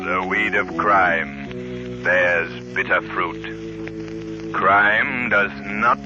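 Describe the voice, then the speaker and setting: A mysterious, mocking avenger with a very deep voice, intoning each word extremely slowly. Loud music swells around him in a muffled old radio recording. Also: male voice, steady tone. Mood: sinister, gloating. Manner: ominous, drawn-out, intoning. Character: sinister mysterious male avenger, gloating and mocking. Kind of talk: 1930s radio mystery acting.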